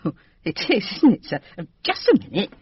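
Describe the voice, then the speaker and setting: Radio drama: gossipy female servant, English accent, respectful yet confiding, highly animated pitch, dull dry recording. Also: brisk, noisy background. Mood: polite. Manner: deferential, confiding, colloquial tags. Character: gossipy, deferential English lady's maid.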